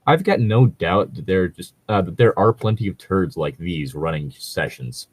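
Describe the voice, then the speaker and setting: Husky low-voiced man, wry and animated. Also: normal speed, dry recording. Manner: profane, wry, joking. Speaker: co-host reading online tabletop gaming horror stories. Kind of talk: casual banter mixed with reading posts aloud.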